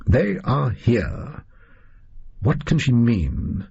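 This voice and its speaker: A man's voice: mid-range voice, sing-song, moderate pace, creaky, a little mellow, slightly rough, dull audio, exaggerated voice. A man voicing a brilliant Victorian detective in an audiobook, muttering intensely, anguished and full of self-doubt. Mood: brooding. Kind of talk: acted dialogue in an audiobook.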